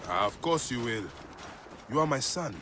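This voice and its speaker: Man acting deep-voiced protective warrior father. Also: animated, normal speed, fairly bright tone, slight background noise, lightly acted. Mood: fatherly, reassuring. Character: protective Egyptian warrior father, steady and proud. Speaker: game character actor. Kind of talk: dramatic game cutscene acting. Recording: game music behind the voice.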